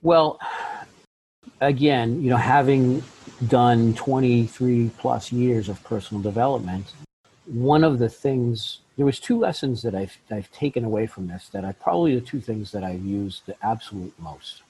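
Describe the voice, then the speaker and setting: A middle-aged man, a life coach, telling his story in an interview, often jumping into lively quoted voices. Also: moderate pace, breathy edge, poor audio. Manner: acts out quoted voices with big pitch jumps. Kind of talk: animated storytelling in a podcast interview.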